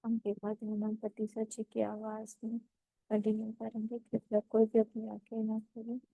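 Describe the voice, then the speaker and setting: A woman leading a guided meditation as instructor, speaking in a measured, gently instructive way with pauses between phrases. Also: fairly high pitch, monotone, gravelly, dry recording, narrow, tinny audio.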